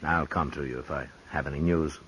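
Gruff terse marshal, very deep husky voice, old radio western. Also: male voice, fairly even intonation, brisk, a bit bright, full character voice. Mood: stern. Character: gruff stern male frontier marshal. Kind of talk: 1950s radio drama acting. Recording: dull old radio broadcast.

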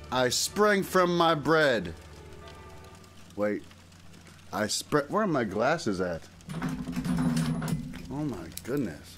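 A man giving a loose, comedic storybook reading, bumbling and easily sidetracked, with theatrical expression and muttered asides. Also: on the high side. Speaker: comic reader of a Christmas poem.

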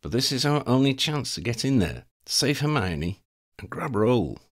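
A man's voice: medium pitch, very animated, moderate pace, voice pushed up. A man voicing a stubborn young male wizard hero in an audiobook reading, brash and defiant. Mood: determined.

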